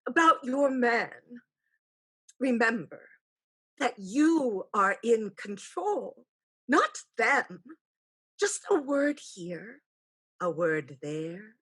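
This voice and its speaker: A woman acting a solemn ghostly aunt who gives measured, formal counsel in a staged play reading. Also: fairly high pitch, sing-song, fairly bright tone, breathy edge, full character voice. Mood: solemn, counselling. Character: ghostly aunt spirit, solemn, counselling, haunted by lost sister.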